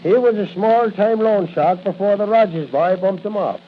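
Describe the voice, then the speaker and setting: A man's voice: high-pitched, moderate pace, natural voice. An actor playing a lazy, boastful policeman in a 1940s radio drama, with stammering, folksy bluster. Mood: folksy boasting. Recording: noisy, muffled 1940 radio recording.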